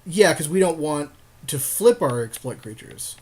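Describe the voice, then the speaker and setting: A man's voice: fairly high pitch, expressive, moderate pace, darkish tone. A man co-hosting a podcast, bantering casually in slangy, joking talk during a card game draft. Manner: slangy, jokey. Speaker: podcast co-host.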